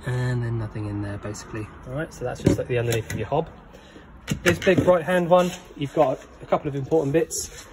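Man, relaxed phone walkthrough of a van, steady noise behind, mid pitch. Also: brisk. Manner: relaxed, many ums, explains plainly. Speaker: camper van handover guide. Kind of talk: casual walkthrough, filmed on phone. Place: in and around a parked van, workshop. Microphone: handheld phone, one-handed filming.

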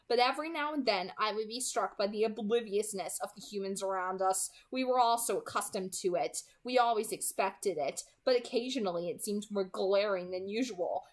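A young woman reads fiction aloud in a medium-pitched voice. She is an amateur narrator with an expressive delivery, now and then tripping on a phrase and correcting it. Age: 20s. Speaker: amateur book reader. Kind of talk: reading a novel aloud. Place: small room at home, indoors.

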